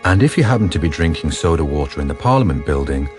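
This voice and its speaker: A man giving deadpan, deliberate scripted narration for a radio drama. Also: very deep voice, over music.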